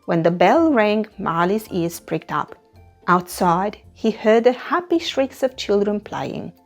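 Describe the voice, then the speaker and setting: A woman reading a picture story aloud to young children, dramatic and expressive. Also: medium pitch, slow pace. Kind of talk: animated read-aloud narration for young children. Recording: music behind the voice. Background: music.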